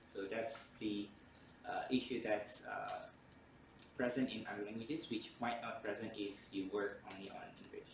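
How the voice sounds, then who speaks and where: A man whose first language is Indonesian gives a university lecture in a lowish voice, stopping often on fillers and restarting his sentences. He sounds far from the mic in a roomy hall, with faint room hum behind him. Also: flat delivery, moderate pace, creaky. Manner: hesitant, many fillers and restarts.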